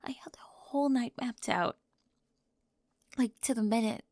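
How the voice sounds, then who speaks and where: A woman playing a high-strung, controlling teenage cheerleader, tearful and defensive, in an ASMR audio roleplay, flustered and emphatic with sighs and whispers. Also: unhurried, a little mellow, no echo, studio quality. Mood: upset, confessing.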